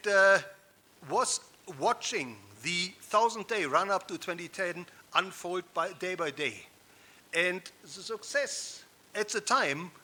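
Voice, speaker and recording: German-accented male keynote speaker, fifties, high bright voice, emphatic stage delivery. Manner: rhetorical, emphatic, with audience asides. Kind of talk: conference keynote speech. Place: conference hall stage.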